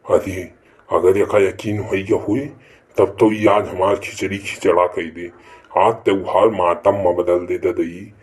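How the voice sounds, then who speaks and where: Man voicing sly village husband, Awadhi accent, unhurried drawl, nervous, evasive, cartoon comedy. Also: low voice, little pitch movement, darkish tone, breathy edge. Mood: worried, fearful. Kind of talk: rural cartoon comedy voice acting.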